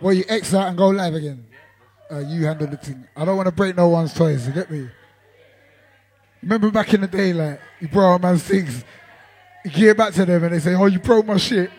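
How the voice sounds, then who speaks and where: A man MC, voice worn out from performing, loudly laughing and shouting out names in live banter. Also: sits high, a little fast, breathy edge. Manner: loud, laughing, shouts out people. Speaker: MC on a live stream. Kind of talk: hyped live banter between sets.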